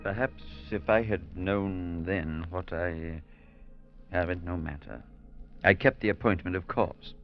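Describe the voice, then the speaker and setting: An actor playing a reflective, gentle priest who narrates and talks in 1940s radio-drama style. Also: male voice, on the low side, lightly acted. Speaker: clergyman narrator. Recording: dull old radio broadcast.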